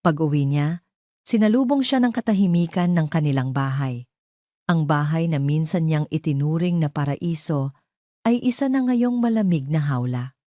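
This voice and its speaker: Low, mellow Filipino woman narrator. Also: lively intonation, no room sound, studio quality. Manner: measured, dramatic storytelling. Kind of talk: scripted dramatic audio story narration.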